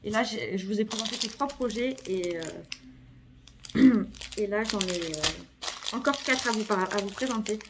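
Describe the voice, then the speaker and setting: A woman with a cold talks through an unboxing at home, stopping often to hesitate and correct herself. Her pitch sits in an ordinary middle range, and faint noise lies behind her voice. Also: a little slow, pauses often. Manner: hesitant, many fillers and self-corrections. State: has a cold. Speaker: craft vlogger. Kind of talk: casual unboxing chat.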